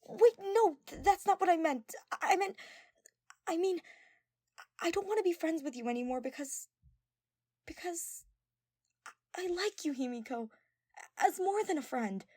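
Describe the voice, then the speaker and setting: An excitable young girl in love, acted out by a woman, speaks very high with big swoops. She gushes and stammers, nervous and openly affectionate, in an audio story with a dry, close sound. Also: a little slow, long pauses, no echo. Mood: flustered, excited. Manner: stammering, gushing, emphatic.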